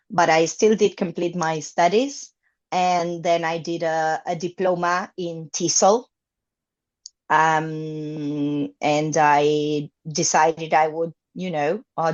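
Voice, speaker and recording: Argentine woman in her fifties, native Spanish speaker, lowish voice, halting interview answers, dull lo-fi sound. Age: 50s. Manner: hesitant, many fillers and restarts. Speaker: guest, English teacher. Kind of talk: conversational interview.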